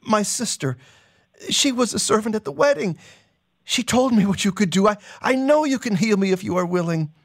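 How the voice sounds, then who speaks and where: A man voicing a desperate male leper who begs for healing, his voice pushed high as he reads a dramatized scene aloud. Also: animated, normal speed, no room sound, clean studio sound. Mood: desperate pleading.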